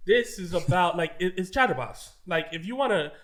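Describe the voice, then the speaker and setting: A man hosting a casual podcast interview, talking in a hyped, slangy, animated way. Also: high-pitched, normal speed. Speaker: podcast host.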